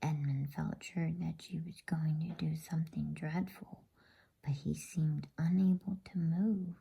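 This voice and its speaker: A woman narrates a bedtime story in an ASMR whisper. Her voice is very husky and breathy, with a mellow, relaxed tone and an unhurried pace. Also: low voice.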